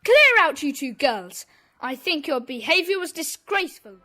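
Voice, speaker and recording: Stern young club leader, a self-important schoolboy, high and animated, scolding, children's radio play. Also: female voice, moderate pace, faint music behind. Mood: indignant, scolding. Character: bossy, indignant schoolboy, leader of a secret club. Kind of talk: children's audio drama acting.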